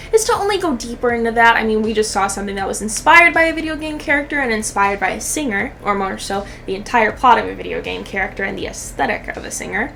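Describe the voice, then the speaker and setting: A young woman with a high voice delivers casual, comedic reaction commentary. She is sarcastic and sweary, with expressive delivery over clearly audible background noise. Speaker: host of a video commentary channel.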